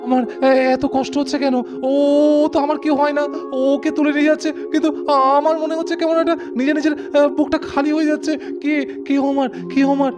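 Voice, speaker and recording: Man voicing a chatty, fast-talking cartoon young man. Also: very high-pitched, little pitch movement, over loud music. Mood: distressed. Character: friendly, naive, excitable young man Rony.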